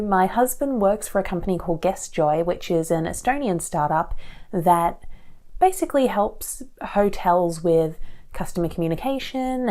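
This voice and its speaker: A woman in her thirties speaks in a slightly low, mellow voice, a little raspy as she recovers from a cold. Her talk is casual and rambling, full of "so" and "um" as she chats to camera. It is recorded on an action camera's built-in mic inside a small shipping-container room. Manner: chatty and rambling, with frequent "so" and "um". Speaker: aspiring fiction author and channel host. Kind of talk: casual talking-to-camera vlog.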